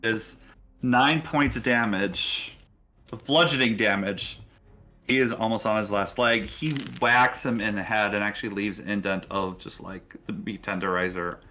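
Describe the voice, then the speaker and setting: A man's voice: on the low side, steady tone, normal speed, pushed and bright, a little hiss or hum. A man narrating a tabletop role-playing game as game master.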